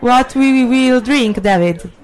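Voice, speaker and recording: Brief: native Italian woman host, Italian-accented English, lively, chatty, fairly high voice. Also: slow pace. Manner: lively, chatty, hesitant when speaking English. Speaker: travel vlog host. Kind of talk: casual on-the-street presenting.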